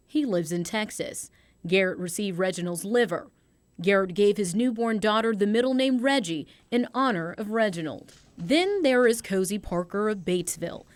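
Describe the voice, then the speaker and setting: Measured woman news reporter narrating. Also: medium pitch, expressive, no echo.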